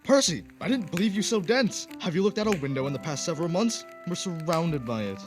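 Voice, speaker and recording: A man's voice: fairly high pitch, animated, a little fast, soft background music, close to an everyday voice. A man acting a pompous, temperamental aristocratic poet in a period radio drama, theatrical and condescending. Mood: mocking.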